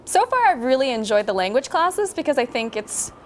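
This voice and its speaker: Casting: American woman, 20s, very brisk talker, casual student presenter, mid-range pitch, background ambience. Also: big pitch swings, slight background noise. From Arizona, United States. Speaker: student interviewer. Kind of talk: casual on-camera interview.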